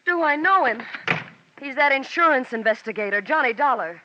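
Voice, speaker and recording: An actress playing a brassy, sarcastic gangster's moll in a 1940s radio crime drama. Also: female voice, high voice, lively intonation, moderate pace. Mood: defiant, sarcastic. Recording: muffled, reverberant, noisy old radio.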